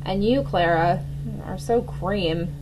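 A woman's voice: mid-range voice, takes it slowly, noisy background, close to an everyday voice. Storybook snob caterpillar, female reader: vain, scornful, haughty and scoffing. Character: conceited, scornful female caterpillar turned butterfly.

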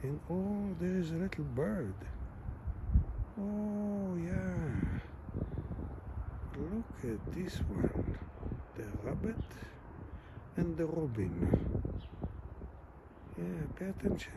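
Slow-speaking man with a foreign accent, outdoors. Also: high-pitched, expressive, very noisy. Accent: foreign accent, French or Italian. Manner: gentle, admiring, simple phrasing with non-native grammar. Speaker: vlogger filming a nature walk. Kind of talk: casual walking commentary. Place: outdoors in a city park by a lake.

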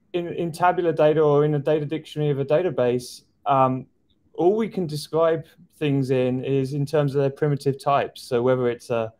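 A middle-aged man guesting on a video call from an office, speaking in a thoughtful, measured way. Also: fairly high pitch, darkish tone. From outside the US. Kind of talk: casual chat on a video call. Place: office indoors. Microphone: video call webcam.

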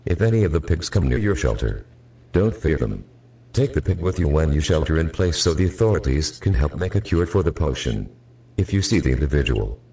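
A synthetic man's voice reading a formal emergency alert in a flat, robotic manner, played back from a video. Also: rumbling low, noisy background. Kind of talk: formal emergency broadcast announcement. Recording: distant-sounding playback of a video.

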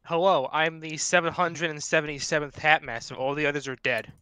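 Bright, rambling young-sounding jokey man. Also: a little high, steady tone, brisk, clean tone, no echo. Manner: rambling, jokey, self-deprecating. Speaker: audience member asking a question. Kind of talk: casual chat at an online fan panel. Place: remote voice chat.

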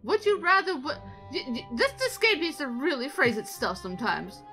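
A woman's voice: high-pitched, sing-song, moderate pace, bright, forceful tone, soft background music, full character voice. A woman voicing an elegant female anime attendant, teasing and playfully prim, in improvised gaming banter. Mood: chatty, curious.

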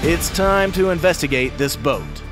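A man's voice: a little high, expressive, moderate pace, loud music behind the voice, lightly acted. A man voicing a young male gator who speaks with serious, self-important play-heroics in a children's story. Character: earnest young male gator playing at heroes.

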